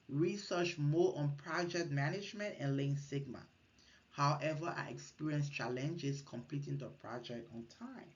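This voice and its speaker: A woman's voice: low-pitched, lo-fi sound. A woman from Liberia, giving an earnest recorded class presentation, partly read and with small restarts. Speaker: student presenter.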